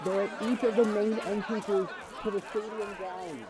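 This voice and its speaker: A man playing a high-voiced, urgent rescue leader. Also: level tone, moderate pace, lightly acted. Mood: urgent command. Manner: commanding, urgent. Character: urgent male healer organiser directing rescuers. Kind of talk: audio roleplay drama acting. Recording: busy crowd noise behind the voice.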